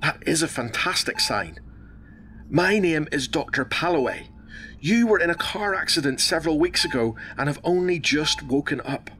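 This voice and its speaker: Hospital doctor, bright forward voice, measured, reassuring, deliberate, radio drama, faint background noise. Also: male voice, fairly high pitch, animated. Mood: gently coaxing. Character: calm, measured hospital doctor consulting a worried husband.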